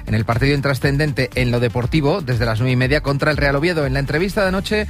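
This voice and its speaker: A man's voice: mid-range voice, fast. A man with an accent from Spain giving a sports report on the radio. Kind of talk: news report. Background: faint music bed.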